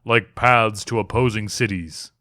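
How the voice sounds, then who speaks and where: A man voicing an ancient, cryptic storm spirit in a fantasy audiobook, slow, solemn and condescending. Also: on the low side, bright, forceful tone, no room sound, clean studio sound. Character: ancient storm spirit, cryptic, scornful, all-knowing, male. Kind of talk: dramatic fantasy audiobook reading.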